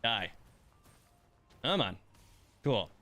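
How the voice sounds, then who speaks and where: A man's voice: mid-range voice, sing-song, extremely slow, pushed and bright. A man livestreaming a game, thinking aloud in casual commentary and muttering complaints and coaching to himself.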